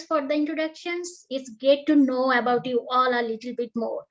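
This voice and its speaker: A woman chairs an online panel and reads formal, prepared remarks in a high voice. The call audio is dull and lo-fi. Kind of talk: scripted moderator remarks. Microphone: video call.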